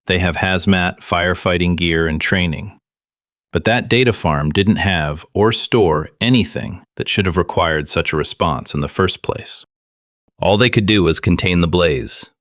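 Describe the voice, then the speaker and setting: A man's voice: low voice, a bit bright, dry recording, clean studio sound. A man playing a wry conspiracy show host in an audio drama, talking in a conversational, conspiratorial way. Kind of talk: scripted audio drama monologue as talk-show broadcast.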